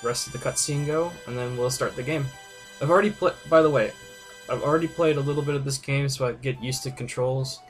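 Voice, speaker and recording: A man giving casual, rambling gaming commentary, hesitant and thinking aloud as he hosts a playthrough. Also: mid-range voice. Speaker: host of a game playthrough video. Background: game music.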